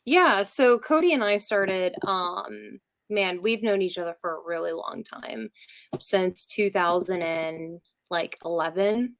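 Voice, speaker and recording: A woman's voice: medium pitch, takes it slowly. A woman chatting casually and informally as a rock singer guest in an interview.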